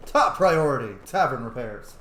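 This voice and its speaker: A man's voice: medium pitch, very animated, moderate pace, a bit bright, echoey room, rough recording. A man giving casual, chatty gaming commentary as a let's play host, reacting aloud as he plays.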